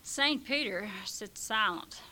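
A hoarse woman reciting a comic rhyming poem in an unhurried, expressive way. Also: a little high, slight background noise.

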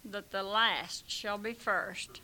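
Hoarse lady reciting a funny poem, really slow, bright voice. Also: medium pitch. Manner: unhurried, expressive recitation. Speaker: reciter of comic verse.

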